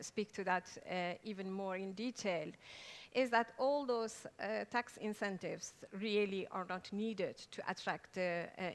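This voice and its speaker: A woman on a discussion panel, speaking haltingly but putting firm emphasis on her key points. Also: mid-range voice, fairly bright tone.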